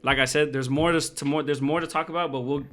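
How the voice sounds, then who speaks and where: A man's voice: fairly high pitch, fairly even intonation, fast, bright, forceful tone, faint music behind. Casual young man chatting on a podcast. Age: 20s. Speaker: podcast co-host. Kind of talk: casual personal chat.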